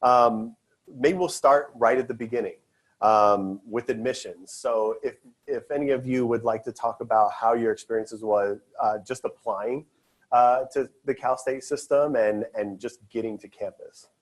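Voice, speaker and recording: A man's voice: medium pitch, measured pace. A man moderating a student panel at a conference session, earnest and loose with fillers and restarts.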